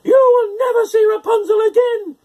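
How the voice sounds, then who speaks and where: A storyteller voicing a wicked, possessive witch in a shrill, screechy, declaiming storybook voice. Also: fairly even intonation, a little mellow. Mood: enraged. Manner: drawn-out, declaiming.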